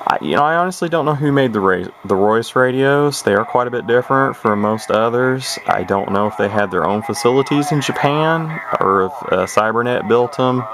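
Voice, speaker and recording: A man's voice: medium pitch, lively intonation, moderate pace, few pauses. A man casually showing off an old CB radio on video, rambling and hesitant with lots of fillers and restarts. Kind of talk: casual show-and-tell video. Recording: noisy home video with radio hiss.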